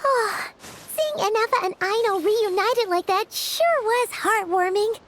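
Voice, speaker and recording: Game casting: chatty little fairy companion, ultra-high childlike squeak, highly animated. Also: female voice, measured pace, exaggerated voice. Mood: warm, chirpy. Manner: chatty, expressive, exclaiming. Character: tiny chirpy childlike female fairy companion, bubbly and curious. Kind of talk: video game voice acting.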